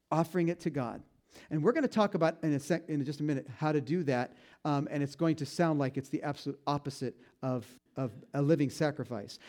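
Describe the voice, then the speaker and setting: A man preaching a conversational sermon, joking at his own expense and slowing down to stress key points. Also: a little mellow. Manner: folksy, self-deprecating humor with frequent restarts; slows down and drops low for emphasis on key points. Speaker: pastor.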